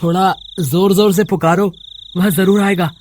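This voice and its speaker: Male actor as cartoon village schemer, high male voice, brisk, emphatic, confident. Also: steady tone, darkish tone, slight background noise. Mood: reassuring. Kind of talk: Hindi horror cartoon character voice acting.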